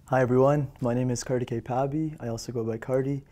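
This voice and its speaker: Mellow-voiced young man from Canada. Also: mid-range voice, fairly even intonation, quick pace. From Toronto, Canada. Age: young adult. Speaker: student guest. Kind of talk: conversational interview.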